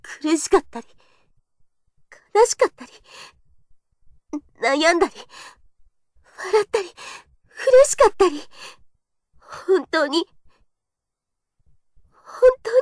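A woman's voice: very high voice, lively intonation, takes it slowly, pauses often, dry recording, clean studio sound, full character voice. A young woman playing a shy, earnest kendo girl in an audio drama monologue, with a hesitant, confessional delivery. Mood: emotional, longing.

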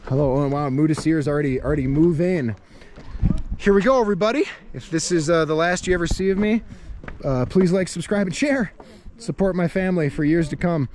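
A man's voice: a little high, fairly quick, darkish tone, a little hiss or hum. A man in his thirties, a travel vlogger joking as he walks and talks to a handheld camera on a rocky riverside path. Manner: upbeat, joking, walking and talking to a handheld camera. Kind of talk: casual travel vlog. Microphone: handheld camera at arm's length.

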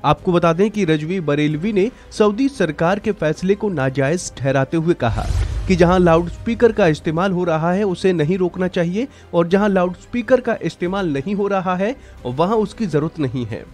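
Guy with a pretty high voice narrating a news report, some music behind him. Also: moderate pace, darkish tone. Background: background music.

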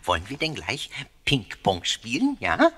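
A man's voice: mid-range voice, wildly swooping pitch, a little slow, pushed and bright, breathy edge, full character voice. An actor playing a playful, boastful little ghost in a children's radio play, exclaiming and repeating his words in a whimsical way. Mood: cheeky.

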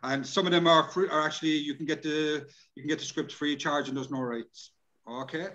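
A man with an Irish accent explaining things casually and haltingly as a workshop speaker on a video call. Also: on the high side, steady tone, bright, forceful tone, highs cut off. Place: indoor room, video call.

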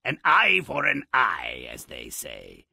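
Video game role: morbid dwarf storyteller, wildly swooping delivery, gravelly and mocking, relishing every gruesome word. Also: male voice, lowish voice, wildly swooping pitch, takes it slowly, bright, forceful tone, no room sound, clean studio sound, heavily acted. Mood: morbid, sardonic. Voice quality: raspy, gravelly. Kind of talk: theatrical scripted video game narration.

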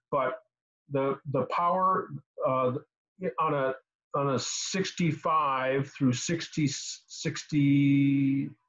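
A man in his sixties giving casual technical advice as a host on a video call, halting and repeating words as he explains. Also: on the high side. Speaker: host answering car repair questions. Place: small home room indoors. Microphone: computer mic on a video call. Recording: slightly dull video call audio.

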